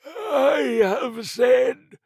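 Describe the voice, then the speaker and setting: A man voicing an ominous sea-cult zealot in an audiobook, preaching in slow, strained pronouncements. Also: vocal fry, husky, no echo, studio quality, exaggerated voice. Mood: fervent conviction. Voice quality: strained, high, loud delivery. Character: slow, ominous male sea-cultist zealot with orb-like eyes.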